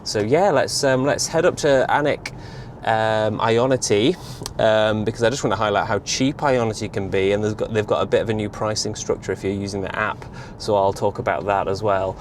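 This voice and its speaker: A man talking casually and hesitantly in a rambling vlog, with a fairly monotone delivery. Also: on the low side, moderate pace. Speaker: vlogger reviewing electric car charging. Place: inside a moving car. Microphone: in-car camera on windscreen or dash. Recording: loud road noise in a car. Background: loud car and road noise.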